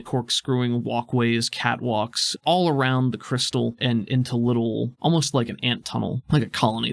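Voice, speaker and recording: A man's voice: medium pitch, creaky, no room sound. A man working as game master, describing scenes in a conversational, improvised way. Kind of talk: improvised tabletop game narration. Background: music underneath.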